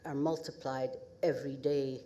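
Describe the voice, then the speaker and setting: An older woman with a northeast Scottish accent gives a halting acceptance speech full of pauses and wry humour at an awards ceremony. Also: very deep voice, steady tone, dark tone, slight background noise. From northeast Scotland. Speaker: award recipient. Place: ceremony hall at a podium.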